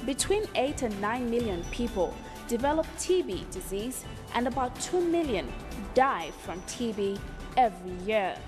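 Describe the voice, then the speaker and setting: A woman delivers formal, measured documentary narration in a somewhat high voice. There is a reverberant tail on her voice, and music plays clearly underneath. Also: very animated. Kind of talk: scripted narration.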